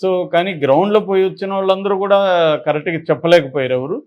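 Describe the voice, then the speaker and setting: Man, slightly high pitch, political commentary, unscripted, explanatory, emphatic, repetitive. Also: fast, lo-fi sound. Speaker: political analyst on a news discussion channel.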